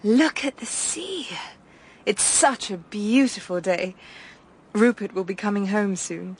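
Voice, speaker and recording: A young upper-class Englishwoman in a radio play. She is dreamy and frank, almost childlike in her directness, with an ordinary female pitch. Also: expressive, slow pace, husky, exaggerated voice. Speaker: actress in radio drama. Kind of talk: radio drama acting.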